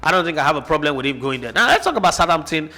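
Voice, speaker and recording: A man's voice: a little high, very animated, racing, pushed and bright. Passionate Nigerian man arguing football. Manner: passionate, argumentative, fires rhetorical questions. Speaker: sports show host. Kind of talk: opinionated football analysis chat.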